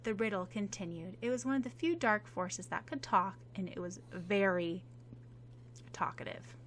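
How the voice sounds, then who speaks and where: A woman narrating a fan-fiction audiobook in a measured, expressive reading voice. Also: mid-range voice, a little hiss or hum.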